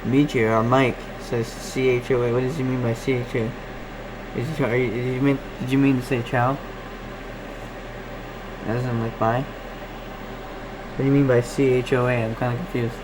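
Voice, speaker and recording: A man's voice: mid-range voice, little pitch movement, normal speed, long pauses. Man live-streaming over noisy background. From Canada. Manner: rambling, distracted, thinking aloud. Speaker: live stream host, radio hobbyist. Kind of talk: casual live-stream chat, reading and answering comments.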